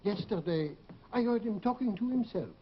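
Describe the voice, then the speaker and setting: A man's voice: high voice, animated, normal speed, slightly rough. An actor playing an older, practical French father with a French accent, questioning and grumbling in a radio drama. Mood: curious. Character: fretful French father, older working man, practical and skeptical. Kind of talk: 1940s radio drama acting. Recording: dull, noisy old radio broadcast.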